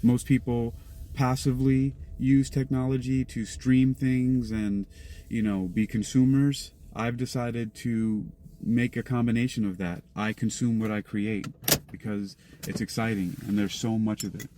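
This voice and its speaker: A man with a mid-pitched voice talks casually as an interview guest. His answers are conversational and unscripted. A loud vehicle-like noise runs behind his voice. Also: fairly even intonation, unhurried. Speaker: interview guest, podcast creator. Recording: noisy vehicle-like background rumble.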